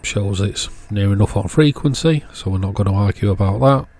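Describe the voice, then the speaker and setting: A man hosts a repair video in a fairly low, husky voice. He narrates the hands-on work casually and keeps it understated and matter-of-fact. Faint music plays behind him. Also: brisk, darkish tone. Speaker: electronics repair video host.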